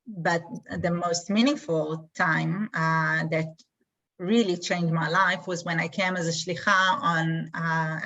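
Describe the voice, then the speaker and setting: A woman with an Israeli accent, answering interview questions in a thoughtful way with many pauses. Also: low-pitched, sharp tone, dull audio. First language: Hebrew. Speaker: guest, community emissary.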